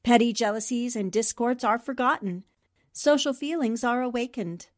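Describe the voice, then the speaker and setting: A woman's voice: a little high, normal speed, no echo, clean studio sound. A woman speaking for a radio drama cast, reading scripted lines aloud. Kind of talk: scripted holiday greeting and essay reading.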